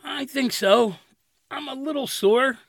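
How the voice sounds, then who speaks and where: A woman's voice: high-pitched, unhurried, creaky, dry recording, studio quality, exaggerated voice. A woman playing a sore, curious neighbour in a comedy audio drama. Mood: dazed, impressed.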